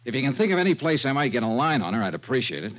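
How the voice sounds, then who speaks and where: An actor playing a polite, wry hard-boiled insurance investigator who also narrates a 1950s radio drama, in a dry, courteous manner. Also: male voice, medium pitch, very animated, very fast, a bit bright. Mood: polite, probing. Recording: muffled 1950s radio recording.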